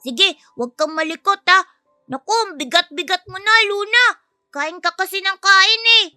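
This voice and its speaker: Casting: woman as a caring young big brother for a story, high childlike pitch, earnest, dry sound. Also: moderate pace, sharp tone, no room sound, soft background music, heavily acted. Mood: teasing, grumbling. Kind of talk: audio storybook character voice.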